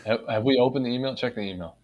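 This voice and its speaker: A man's voice: mid-range voice, very fast, a little mellow. A man co-hosting a podcast, teasing his partner bluntly with lots of swearing. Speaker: podcast co-host. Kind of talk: casual podcast banter.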